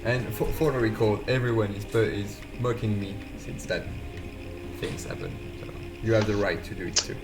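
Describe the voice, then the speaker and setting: A man from France with a French accent, a game developer chatting casually and haltingly during a live stream while playing. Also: on the low side, fairly even intonation, rough recording. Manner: halting, self-deprecating, restarts phrases. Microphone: voice call. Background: game music.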